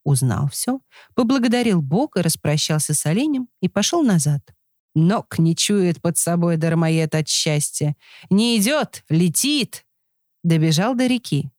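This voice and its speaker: A woman reading a scripted folk tale as an expressive storyteller. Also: lowish voice, measured pace, dry recording, clean studio sound.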